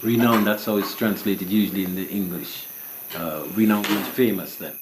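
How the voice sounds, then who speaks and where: A man's voice: on the low side, fairly even intonation, moderate pace, noisy background. A man speaking reflectively and off the cuff, in a questioning, probing manner. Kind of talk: unscripted reflective talk. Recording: roomy echo under plucked-string music.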